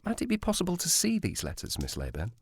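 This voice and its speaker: A man's voice: high voice, huge pitch swings, fast, gravelly, breathy edge, no echo, exaggerated voice. A man playing a courteous, cautious provincial police sergeant with formal speech in a period audio drama. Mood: probing, polite.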